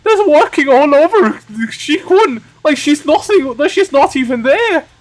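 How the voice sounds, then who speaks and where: Game role: excitable, earnest young man, attempted German accent, strained and very high. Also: measured pace. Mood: indignant, eager.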